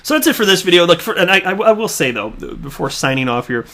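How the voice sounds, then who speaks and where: A man's voice: high-pitched, sing-song, fast, bright, forceful tone. Chatty Midwestern guy vlogging. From American Midwest. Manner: chatty, filler-heavy, repeats words. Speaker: crypto YouTuber. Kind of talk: casual commentary reading tweets.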